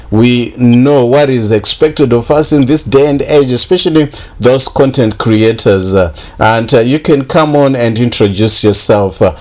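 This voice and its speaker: A man's voice: medium pitch, darkish tone. A man hosting a radio interview, speaking with many hesitant fillers. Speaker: radio host.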